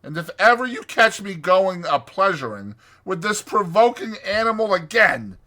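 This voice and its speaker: A man voicing a bossy, exasperated male water rat for a storybook, speaking in a firm, sharp, emphatic way. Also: on the high side, huge pitch swings, a little slow, breathy edge, pitched above the performer's own voice, exaggerated voice.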